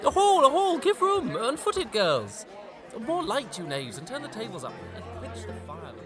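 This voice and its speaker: A man's voice: very high-pitched, huge pitch swings, normal speed, over music, full character voice. Party crowd behind, man doing hearty old shakespeare lord, voice swooping all over, bustling and exclamatory. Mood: merry, bustling. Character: jovial, bustling old lord hosting a feast. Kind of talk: Shakespearean audio drama. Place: home recording. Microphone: phone.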